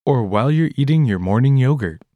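A man with an American accent hosting a scripted language lesson podcast in an upbeat, expressive way. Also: medium pitch, fairly quick, dry recording, lo-fi sound. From United States. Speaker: podcast host.

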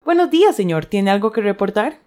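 A woman's voice: mid-range voice, very animated, a little fast, dark tone, natural voice. A woman playing a formal, unimpressed airport customs agent in a scripted language-lesson dialogue, with a curt manner.

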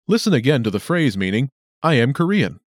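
A man hosting a scripted language lesson with clear, upbeat announcer delivery. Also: medium pitch, very animated, brisk, no echo, studio quality. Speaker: lesson host.

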